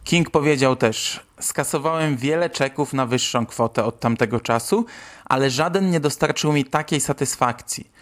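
A man's voice: mid-range voice, normal speed, fairly bright tone. A man hosting and reading scripted narration. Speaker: host.

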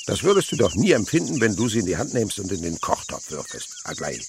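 A man's voice: fairly low pitch, big pitch swings, fast, noisy background. A man acting in a German radio play as an imaginative father and naturalist, telling things dramatically and savouring each word. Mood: earnest, awed.

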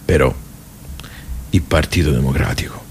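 A man's voice: extremely low pitch, moderate pace, slight background noise. A man playing a wry, nostalgic regular of a workers' club in a radio monologue, colloquial and rambling, with a Tuscan accent. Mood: wistful, rueful. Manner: rambling, colloquial, self-interrupting. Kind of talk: radio theatre monologue, conversational.

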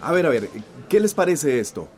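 Keen activist, radio drama, high-pitched, declamatory. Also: male voice, sing-song, normal speed, darkish tone. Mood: declaiming. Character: eager male community activist rehearsing slogans.

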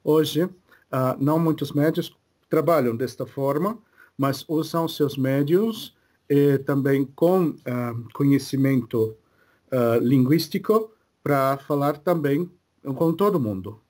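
Man voicing a calm male Cree spirit guide; very slow, broken delivery, mid pitch. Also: natural voice. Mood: solemn. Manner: slow, broken phrasing with many pauses. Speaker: medium channeling a spirit. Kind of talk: slow halting spiritual channeling.

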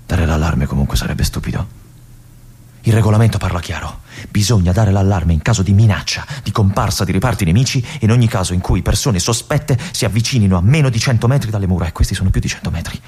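Ufficiale inquieto, toni sommessi e sussurrati, voce un po' roca, melodia ampia. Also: male voice, low-pitched, lively intonation, fast, husky, full character voice. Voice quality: intense, hushed delivery with whispered phrases. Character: brooding male army lieutenant at a remote fortress. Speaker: stage actor. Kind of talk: theatrical monologue.

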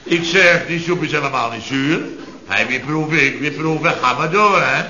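A man's voice: on the high side, normal speed, sharp tone. An actor in a radio comedy playing an exasperated chef, blustering and indignantly repeating himself as he tells of disasters. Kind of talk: radio comedy acting before an audience. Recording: echoey dull old radio recording, audience noise.

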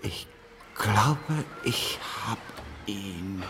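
An actor in a German radio drama playing a medium who receives signals, speaking in halting, murmured fragments. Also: male voice, fairly low pitch, animated, noisy background. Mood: searching. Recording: music behind the voice.

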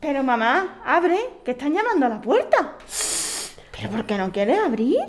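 A woman's voice: sits high, big pitch swings, moderate pace. A woman playing an anxious daughter pleading with her mother in an audio drama. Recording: loud noise and clicks.

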